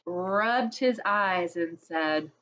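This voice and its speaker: A woman reading a children's chapter book aloud, slowly and expressively, as a storyteller for kids. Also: fairly low pitch, lo-fi sound, slight background noise. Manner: slow, gentle, expressive reading.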